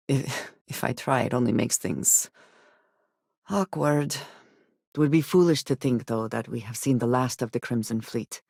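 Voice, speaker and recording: An actress playing a guarded, stern and loyal spacefaring companion in a video game, with formal, measured phrasing. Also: female voice, very deep voice, creaky, darkish tone, no room sound, clean studio sound, full character voice. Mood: earnest, softer. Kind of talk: video game character voice lines.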